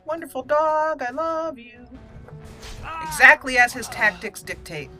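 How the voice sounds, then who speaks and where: Bright-voiced woman playing and narrating a game. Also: high voice, measured pace, faint music behind. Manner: playful, animated reactions, reads game text aloud. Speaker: host of a game roleplay channel. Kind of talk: casual gameplay commentary with roleplay.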